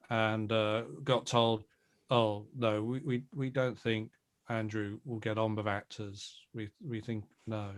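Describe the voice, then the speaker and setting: A middle-aged man giving a reflective, earnest and emphatic interview answer as a producer. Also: on the low side. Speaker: audio drama producer and director, interview guest.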